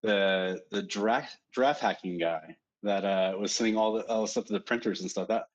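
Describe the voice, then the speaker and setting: A man's voice: on the low side, moderate pace, dull audio. A man co-hosting a live security stream, speaking casually with lots of fillers and repeated words. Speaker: threat researcher co-host.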